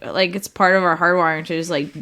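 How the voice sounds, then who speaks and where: A woman's voice: fairly low pitch, fairly even intonation, fast, bright, forceful tone. A woman co-hosting a podcast in casual chat, rambling and laughing often as she talks. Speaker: podcast co-host.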